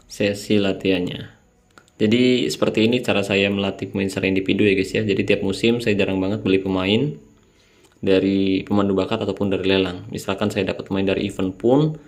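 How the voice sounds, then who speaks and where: Young man, low flat voice, slightly nasal, drowsy, laid-back game tutorial talk. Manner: relaxed, keeps calling viewers "guys". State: sleepy, late at night. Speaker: mobile game channel host. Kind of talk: casual gameplay tutorial voice-over.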